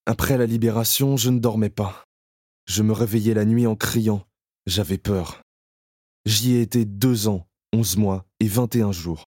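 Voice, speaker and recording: An actor playing a haunted male survivor in an audio drama, speaking slowly and introspectively in the first person. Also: lowish voice, steady tone, mellow, no echo, clean studio sound. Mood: anxious. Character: haunted male camp survivor, numb and fearful.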